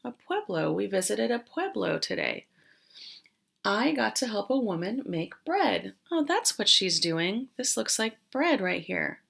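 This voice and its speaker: A woman teacher doing an interactive read-aloud for young children, explaining as she goes and pausing after questions. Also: mid-range voice, very animated, creaky, a bit bright. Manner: questioning, explanatory, with pauses for answers.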